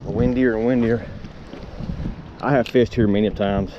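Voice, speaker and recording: A man's voice: lowish voice, fairly even intonation, a little slow, dull audio. A man, talking casually to his action camera while fishing at a wooded lakeshore. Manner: laid-back, rambling, drawling asides. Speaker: fishing vlogger. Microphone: head-mounted action camera. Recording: clear wind noise on the mic. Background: wind.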